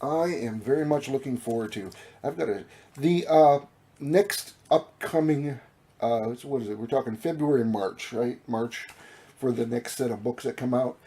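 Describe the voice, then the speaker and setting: A man's voice: medium pitch, moderate pace, warm tone, husky. A man losing his voice talks casually about his new comic books, rambling with many fillers and restarts. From Minnesota. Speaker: comic book vlogger. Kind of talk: casual show-and-tell vlog. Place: home room with collectibles.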